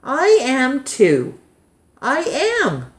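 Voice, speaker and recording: A woman's voice: sits high, huge pitch swings, drawn-out words, a little hiss or hum, pitched above the performer's own voice, heavily acted. A woman voicing a wistful young boy in a picture book, simple and earnest. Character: thoughtful, wistful young boy narrator missing his grandpa. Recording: roomy, echoing indoor sound.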